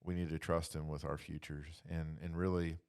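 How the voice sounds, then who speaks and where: A man leading a casual faith discussion, reflective and halting, often dropping in "you know". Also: extremely low pitch, level tone, darkish tone, smooth.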